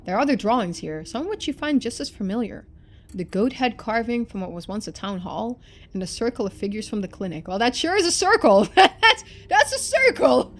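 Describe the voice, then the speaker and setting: A woman streamer reading a story game aloud in expressive narration, with casual asides. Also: fairly high pitch, fairly quick.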